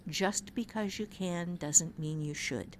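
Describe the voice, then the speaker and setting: A woman's voice: on the low side, slow pace, a little hiss or hum. Emphatic woman giving writing advice. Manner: emphatic, earnest, repeats phrases for emphasis. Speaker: writing teacher and novelist. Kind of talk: conversational podcast advice.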